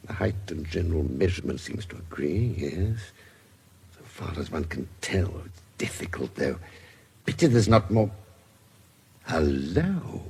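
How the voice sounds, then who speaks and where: Husky, methodical older British detective doctor. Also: male voice, low voice, sing-song, darkish tone, exaggerated voice. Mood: quiet, intrigued. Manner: measured, precise, deliberating aloud. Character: keen, methodical older gentleman detective doctor, coolly curious. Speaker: forensic investigator. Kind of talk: radio drama acting.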